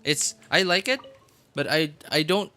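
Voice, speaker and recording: A man's voice: fairly high pitch, expressive, unhurried, bright, forceful tone, soft background music. A man live streaming a game, chatting casually with viewers and often hesitantly repeating himself.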